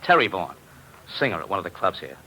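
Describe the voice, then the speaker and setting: Bright, forceful hard-boiled bookie boss. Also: male voice, low-pitched, big pitch swings, quick pace, exaggerated voice. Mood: grim, frustrated. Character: exasperated, hard-boiled male bookmaking boss. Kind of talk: 1940s radio drama acting. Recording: faintly noisy old radio recording.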